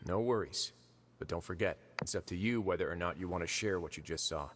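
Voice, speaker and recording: A man playing a composed, dryly amused prison administrator in a video game, speaking in a measured, suave way. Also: low voice, background noise, lightly acted. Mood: calm, assured. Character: composed, wry male prison fortress administrator. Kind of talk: video game character acting. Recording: game music playing behind the voice.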